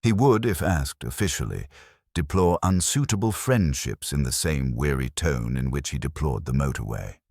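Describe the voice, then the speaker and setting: A man reading scripted mystery fiction as narrator, in a measured, wry, literary manner. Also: very deep voice, animated, vocal fry, darkish tone, no room sound, studio quality.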